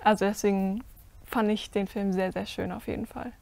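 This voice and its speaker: A woman's voice: medium pitch, fairly even intonation, moderate pace, creaky, darkish tone, dry recording. A teenage girl on a discussion panel, speaking earnestly and thoughtfully with frequent fillers. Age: teen. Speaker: youth panelist. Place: indoor studio set with curtains.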